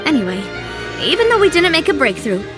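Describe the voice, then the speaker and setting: Determined young woman investigator, high voice, poised, thoughtful, deliberate video game cutscene delivery. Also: very animated, music in the background. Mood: pondering, analytical. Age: young adult.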